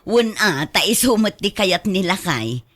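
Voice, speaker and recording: Casting: snobbish neighbour lady for radio drama played by a woman, haughty, very lively swings in pitch. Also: a little slow. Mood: smug. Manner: haughty, showy. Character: boastful, condescending village neighbour and mother.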